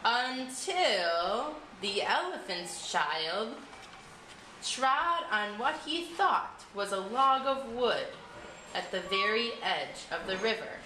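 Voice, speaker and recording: Woman storyteller, high bright voice, expressive scripted narration, echoey room, faint noise. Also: slow.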